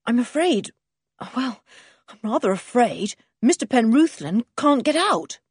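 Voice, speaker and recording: A woman narrator takes on a polite older boy in a storybook, sensible and awkward. The voice sits higher, slightly husky, with careful, hesitant, apologetic phrasing. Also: sing-song, a little slow, dry recording, clean studio sound, heavily acted. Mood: uneasy.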